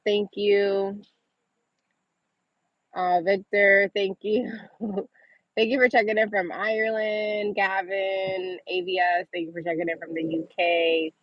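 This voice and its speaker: A woman's voice: lowish voice, no room sound. Woman livestreamer, casual and chatty, dull audio. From Massachusetts. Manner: informal, chatty, often laughing as she talks. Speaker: livestream host. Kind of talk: casual livestream chat reading and answering viewer comments.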